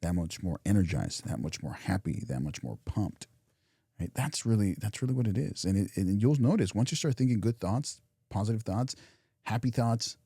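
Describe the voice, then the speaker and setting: A man co-hosting a podcast, talking casually and reflectively, often restarting phrases and dropping softer for imagined inner thoughts. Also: lowish voice, big pitch swings, moderate pace, husky, no echo, studio quality. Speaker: podcast co-host. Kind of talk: casual motivational chat.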